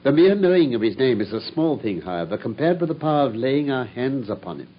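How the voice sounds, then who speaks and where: An actor playing a brilliant, self-assured male detective in a radio drama, speaking in a crisp, measured way. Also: medium pitch, big pitch swings, lightly acted. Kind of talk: 1950s radio drama acting. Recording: dull old radio broadcast with faint hiss.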